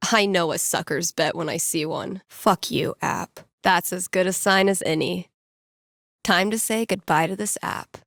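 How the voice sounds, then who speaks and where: A woman's voice: medium pitch, creaky, a bit bright, no echo, studio quality. A woman voicing a wry, geeky young woman in a video game, with a casual, sardonic and playful manner. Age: young adult. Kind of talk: naturalistic game voice acting.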